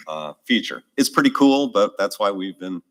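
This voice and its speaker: Very deep-voiced man explaining at a meeting. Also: expressive, moderate pace, fairly bright tone, rough recording. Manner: explains with restarts and hedging. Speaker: city official answering council questions. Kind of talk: council meeting discussion. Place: council chamber. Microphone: desk microphone.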